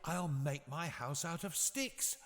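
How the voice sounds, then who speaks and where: A man voicing a small female pig in a children's storybook, eager and polite, with a squeaky high voice. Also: slow, a bit bright, in a large hall.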